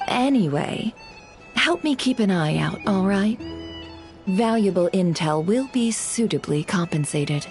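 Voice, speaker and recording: An actress playing a cool, shrewd and secretive intelligence broker in video game dialogue, composed and businesslike. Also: female voice, mid-range voice, lively intonation, unhurried, darkish tone. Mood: brisk, composed. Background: music.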